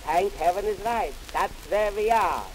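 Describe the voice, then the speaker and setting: Crackly 1920s 78 comedy record, guy doing a high-pitched grumbling wisecracker with yiddish accent, brash and cheap. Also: measured pace. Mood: grumbling, wisecracking. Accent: Yiddish-inflected English. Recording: hissy, noisy 1920s 78 rpm record.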